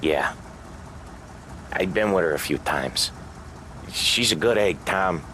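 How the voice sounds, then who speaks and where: An actor playing a sardonic, weary 1930s American mobster in a video game, with dry, slangy delivery. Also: male voice, deep voice, lively intonation, takes it slowly, bright, forceful tone, slight background noise, heavily acted. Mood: low and serious. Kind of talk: cinematic video game dialogue.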